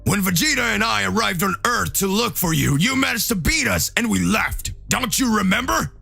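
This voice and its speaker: A man's voice: high-pitched, animated, moderate pace, gravelly, pushed and bright, breathy edge, soft background music, voice pushed up, heavily acted. A man voicing a furious, confrontational alien warrior in a story. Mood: furious, incredulous.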